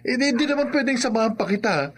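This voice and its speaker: A man's voice: very high voice, expressive, very fast, slightly rough, heavily acted. A male actor playing a cowardly, guilty man in a horror radio drama, with a nervous, whiny delivery. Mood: nervous, pleading. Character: cowardly, guilty man pleading with a wronged friend.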